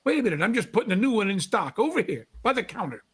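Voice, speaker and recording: A man's voice: sits high, very animated, fast, heavy background noise. A man playing a pushy second-hand shopkeeper, haggling and wheedling in a vintage-style radio drama. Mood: salesman-like. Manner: haggling, salesman-like.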